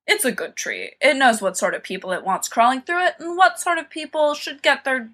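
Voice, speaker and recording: A woman's voice: high-pitched, lively intonation, fairly quick, fairly bright tone, pitched above the performer's own voice. A woman voicing a sly, careless teenage boy in an audiobook, flippant and scoffing in manner. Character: cocky, sly, mocking, careless teenage schoolboy. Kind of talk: dramatised fan-fiction audiobook reading.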